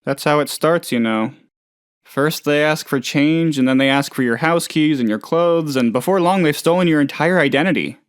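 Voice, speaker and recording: A man's voice: on the high side, moderate pace, clean tone, no echo, studio quality, natural voice. A man playing a hapless, trusting, lonely oddball in a video game, talking in a rambling, hesitant, good-natured way. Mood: earnest, naive. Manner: rambling, hesitant, naive, chatty. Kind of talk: video game character dialogue.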